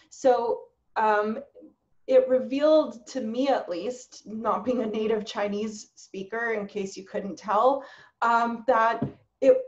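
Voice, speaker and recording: A woman researcher explaining thoughtfully and with pauses in a webinar discussion over a video call. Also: a little high, a little mellow, muffled recording. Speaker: social science researcher, panelist.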